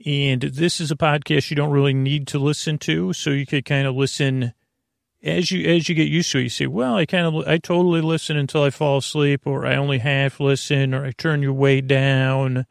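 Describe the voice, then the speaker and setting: A man hosting a bedtime podcast in a creaky, gravelly voice, gently meandering through tangents and halting self-corrections to reassure the listener. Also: medium pitch, no echo, clean studio sound. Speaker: podcast host. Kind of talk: rambling, unscripted bedtime podcast monologue.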